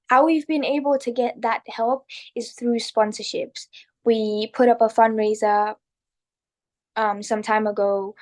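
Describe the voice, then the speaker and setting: A woman's voice: fairly high pitch, a little mellow. A young woman with a Kenyan accent, talking earnestly as a guest about founding her nonprofit, with frequent restarts. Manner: earnest and self-deprecating, with restarts. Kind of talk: casual interview talk.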